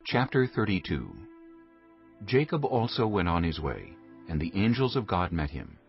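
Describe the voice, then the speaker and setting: Male narrator, scripted scripture, deep pitch, lively intonation, dull recording, music behind. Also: normal speed.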